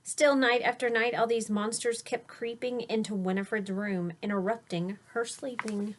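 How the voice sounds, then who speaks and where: A woman reading a children's picture book aloud expressively, stressing key words and pausing to explain things simply for young listeners. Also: a little high, fairly even intonation, slight background noise. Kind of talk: storybook read-aloud with simple explanatory asides.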